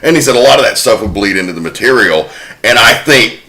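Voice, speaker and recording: A man hosting a casual podcast discussion, informatively laying out facts in a measured way. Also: on the low side, expressive, sharp tone. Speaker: podcast host presenting research.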